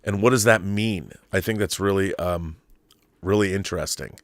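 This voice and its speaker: A middle-aged man with a fairly low voice chats as the host of a livestream. He speaks in an emphatic, conversational way, doubling back and restarting phrases as he works out his thoughts. The sound is dry, with little room in it. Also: no room sound. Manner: halting, repeats words and rephrases as he thinks aloud. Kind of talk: casual live chat answering viewer messages.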